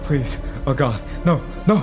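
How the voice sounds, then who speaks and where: A man's voice: mid-range voice, expressive, a little slow, close to an everyday voice. A man playing a frightened getaway driver in an audio drama, stammering and pleading in panic. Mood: terrified, pleading. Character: terrified, pleading male getaway driver, a father. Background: music.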